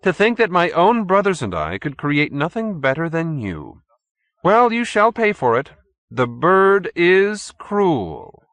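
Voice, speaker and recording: A man's voice: fairly high pitch, huge pitch swings, slow pace, fairly bright tone, dry recording, pitched above the performer's own voice, heavily acted. A man voicing a haughty, urbane cult leader in an audiobook, pompous and sternly courteous. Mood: scornful, vengeful. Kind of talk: audiobook character acting.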